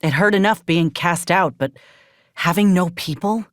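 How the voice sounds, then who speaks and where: A woman's voice: lowish voice, normal speed, dry recording, natural voice. Woman acting grim soldier outcast, studio-dry. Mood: hurt, troubled. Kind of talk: video game character dialogue.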